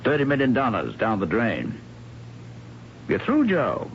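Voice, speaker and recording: An actor playing a brooding, obsessive middle-aged engineer husband in a theatrical, grandiloquent old-time radio style. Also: male voice, mid-range voice, wildly swooping pitch, measured pace. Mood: bitterly dramatic. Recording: muffled, hissy vintage radio recording.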